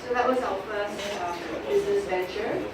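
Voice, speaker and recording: A woman's voice: mid-range voice, normal speed. A woman, giving a chatty talk to an audience with many fillers and restarts. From Penang, Malaysia. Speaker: guest speaker, entrepreneur. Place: lecture hall at a talk event. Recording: echoey hall, voice far off, loud background noise.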